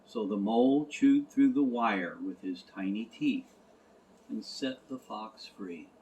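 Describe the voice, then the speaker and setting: A man narrates a scripted storybook. His voice sits fairly low, and he reads at a slow, measured pace with a gentle touch. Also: muffled recording. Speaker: audiobook narrator.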